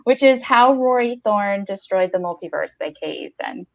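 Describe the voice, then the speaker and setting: A woman in her thirties hosting a casual interview over a video call, gushing and a little halting. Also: medium pitch, expressive, creaky, highs cut off. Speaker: podcast host. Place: indoor room at home, video call. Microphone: video call, headset.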